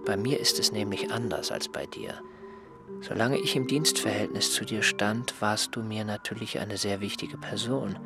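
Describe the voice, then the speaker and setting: A man voicing a weary, sullen former assistant in a radio play, dismissive and cold. The voice is low-pitched, and loud music plays under it. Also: steady tone, moderate pace, close to an everyday voice. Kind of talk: German radio play acting.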